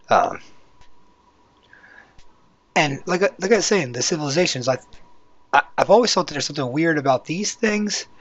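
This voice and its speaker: A man with a hoarse voice hosting a casual, rambling video walkthrough, talking conversationally as he explains what is on screen. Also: mid-range voice, no echo. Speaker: YouTube channel host. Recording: dull, lo-fi microphone sound.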